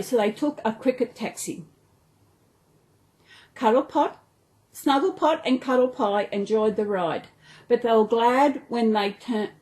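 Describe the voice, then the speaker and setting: A woman with an Australian accent reads a children's story aloud in an animated way, stumbling now and then over words. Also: on the high side. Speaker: storyteller, channel host.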